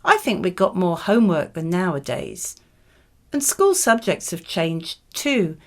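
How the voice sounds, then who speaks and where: Low-pitched woman giving model exam answers. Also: wildly swooping pitch, measured pace. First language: English. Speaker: model candidate in an English speaking exam. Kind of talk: scripted model answers read in a conversational tone.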